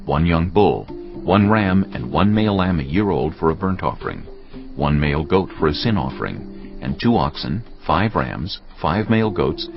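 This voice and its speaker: A man narrating a dramatised scripture audiobook solemnly and deliberately, phrase by phrase. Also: low-pitched, animated, gravelly, highs cut off. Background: music.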